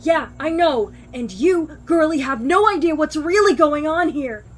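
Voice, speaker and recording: Woman acting a defiant, high-voiced young woman. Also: expressive, measured pace. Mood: angry scorn. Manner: scornful, foul-mouthed, biting. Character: defiant, sarcastic, injured young woman, single-minded and bitter. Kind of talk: audio drama acting, anime fan parody.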